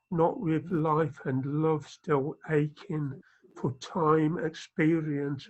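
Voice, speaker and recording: A man reading his poem over a video call with unhurried, drawn-out phrasing, dull lo-fi audio. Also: on the high side. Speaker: poet reading. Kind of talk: slow poetry reading.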